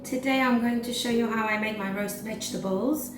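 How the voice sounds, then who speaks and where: A woman with a slightly hoarse, gravelly voice talks through a recipe for her cooking vlog, chatty and full of "um"s. She sits a bit higher in pitch, and she's picked up from a distance in an echoey kitchen with music playing behind her. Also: brisk. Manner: chatty, with many "um" fillers. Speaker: cooking vlogger. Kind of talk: casual cooking demonstration.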